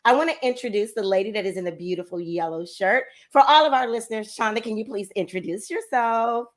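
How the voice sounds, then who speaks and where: A woman hosting a livestreamed talk show, bubbly and excitable as she welcomes her guests. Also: mid-range voice, a little fast. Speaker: host.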